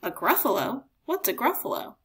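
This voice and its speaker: Sly storybook fox, played by a woman: coaxing, skittish, exclaiming, animated. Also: fairly high pitch, normal speed, breathy edge, full character voice. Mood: puzzled. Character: sly red fox, inviting but easily scared.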